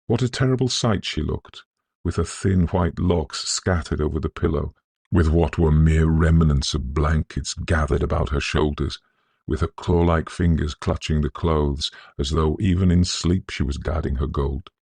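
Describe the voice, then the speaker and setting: A man narrating a literary ghost story in a measured, solemn, scholarly manner, with a gravelly, husky voice. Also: very deep voice, a little mellow, dry recording. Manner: measured, deliberate, solemn delivery.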